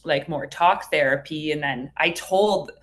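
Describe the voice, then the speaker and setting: A woman's voice: deep voice, unhurried. A woman speaking as a therapist guest in a casual interview, earnest, informal and reflective. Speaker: hypnotherapist guest.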